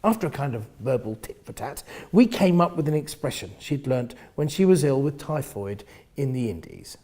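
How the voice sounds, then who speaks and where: A man with a husky voice reading first-person literary fiction in an expressive, theatrical manner, often hushing the ends of phrases. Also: mid-range voice, moderate pace. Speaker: reader of a novel.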